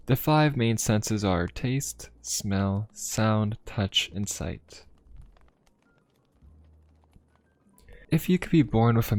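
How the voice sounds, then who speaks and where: Man playing a low-voiced, courteous young fantasy pope. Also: no echo. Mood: inquisitive. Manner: polite, formal, gently musing. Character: courteous, inquisitive young male pope of a steampunk fantasy city. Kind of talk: ASMR roleplay addressed to the listener. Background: music.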